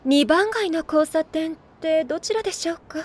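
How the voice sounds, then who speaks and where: A high-voiced, ladylike young cat. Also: normal speed. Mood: hesitant, polite. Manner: polite, hesitant, ladylike. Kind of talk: Japanese voice drama acting.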